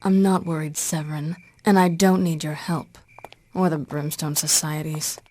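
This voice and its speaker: An actress playing a cool, sardonic half-vampire huntress in a video game, dry and confident in manner. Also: female voice, low-pitched, fairly even intonation, normal speed, warm tone. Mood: cool, teasing. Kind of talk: video game cutscene acting. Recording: music behind the voice.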